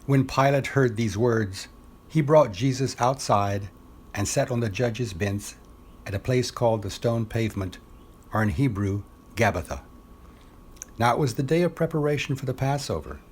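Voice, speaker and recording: A man reading scripture dramatically, with expressive emphasis on quoted speech. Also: mid-range voice, moderate pace, slight background noise. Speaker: scripture reader.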